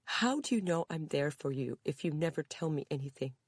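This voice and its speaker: A woman's voice: low voice, expressive, brisk, husky, dry recording, studio quality. A woman reading aloud, voicing a distraught mother who sobs and blames herself. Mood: demanding. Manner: sobbing, anguished. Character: distraught mother, sobbing, self-blaming.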